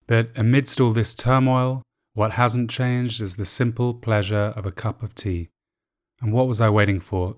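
A man hosting a podcast interview, chatting enthusiastically with plenty of fillers. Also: fairly low pitch. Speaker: podcast host. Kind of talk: conversational interview.

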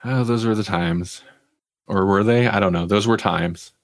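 A low-pitched man hosts a casual entertainment podcast in a dry, close recording. He moves between reading news items and offhand commentary, with a wry, self-deprecating streak. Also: moderate pace, no room sound. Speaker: podcast host. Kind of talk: casual entertainment news chat, partly reading articles aloud.

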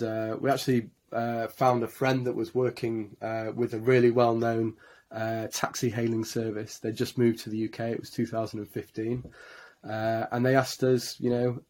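A man with a Yorkshire accent talks as a podcast guest, his voice staying flat and level in pitch. He fills his sentences with frequent uh and um as he tells his story. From Yorkshire, England. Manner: many uh and um fillers, flat delivery. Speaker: guest, marketing agency director.

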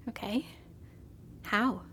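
A woman's voice: extremely slow, sharp tone, slight background noise. An actress playing an isolated, hesitant and naive woman who believes herself cursed, in an audio drama. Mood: unsure, subdued.

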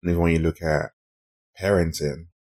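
A man's voice: low voice, fairly even intonation, fairly quick, darkish tone. A man hosting a conversational podcast interview, speaking in an earnest, encouraging way. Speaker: podcast host.

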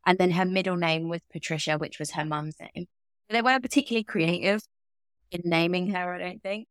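A woman's voice: fairly low pitch, a bit bright, smooth, dry recording, clean studio sound. A British woman in her thirties co-hosting a podcast in casual, teasing, chatty banter. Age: 30s. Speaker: podcast co-host. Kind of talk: casual banter and true crime discussion.

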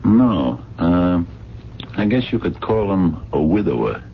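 An actor playing a gruff, wry working man turned sleuth in a 1970s radio drama, with a terse, dry manner. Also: low voice, sing-song, takes it slowly, heavily acted. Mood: dry, grim. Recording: dull, noisy 1970s radio broadcast.

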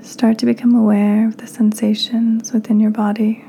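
A woman hosting a guided meditation in a soft, breathy, near-whispered voice, calm and soothing with unhurried pauses. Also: on the high side, slight background noise. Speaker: podcast host, meditation guide.

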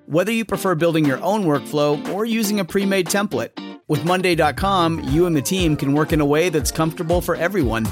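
A man's voice: fairly high pitch, fairly quick, over music. A man reading a scripted ad in a friendly, upbeat way. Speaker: ad announcer. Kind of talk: scripted ad read.

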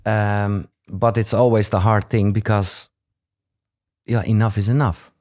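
A man's voice: fairly low pitch, level tone, a little slow, a little mellow. A Dutch-accented man, a podcast host whose first language is Dutch, asking questions in a casual, hesitant way with many fillers and restarts. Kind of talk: casual interview.

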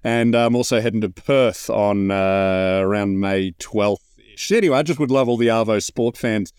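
A man's voice: fairly low pitch, normal speed, darkish tone, clear, resonant tone, dry recording. An Australian man co-hosting a podcast, joking about sport in a tongue-in-cheek way. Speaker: podcast co-host, comedian.

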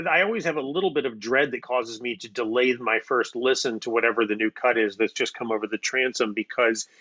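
A man's voice: medium pitch, pushed and bright, dry recording, highs cut off. A man chatting in an interview, wordy and self-critical, thinking aloud. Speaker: audio drama writer. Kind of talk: casual interview chat.

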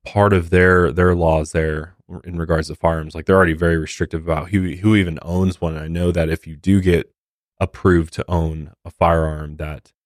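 Very deep-voiced American man, dry sound. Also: little pitch movement, moderate pace, no room sound. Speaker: podcast host. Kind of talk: true crime podcast discussion.

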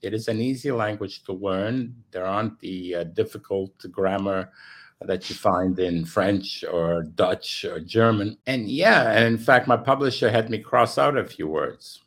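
A man's voice: on the low side, unhurried, fairly bright tone. Man, Romanian native, chatty and wry. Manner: chatty, self-deprecating, laughs at own jokes. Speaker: guest author. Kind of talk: casual interview chat.

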